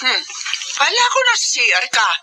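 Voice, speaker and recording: A woman's voice: high-pitched, wildly swooping pitch, moderate pace, very noisy. A Filipino woman playing an overprotective, strict and watchful mother in a radio drama. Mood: suspicious, stern.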